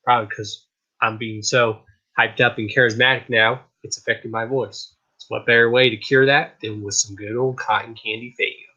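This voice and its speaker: A man hosting a casual live stream show, chatting in a hyped, rambling way, his voice a little raspy. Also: mid-range voice, fairly even intonation, moderate pace, bright, forceful tone, lo-fi sound. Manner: hyped, rambling, repeats "I kid you not". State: slightly raspy voice.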